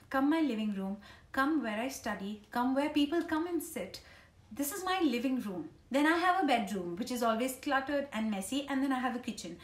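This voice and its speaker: A woman's voice: fairly high pitch, a bit bright. A woman in her thirties with an Indian accent, chatting informally and ramblingly to camera as a vlogger in her living room. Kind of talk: casual chit-chat to camera. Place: small living room at home, indoors. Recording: roomy home living room echo.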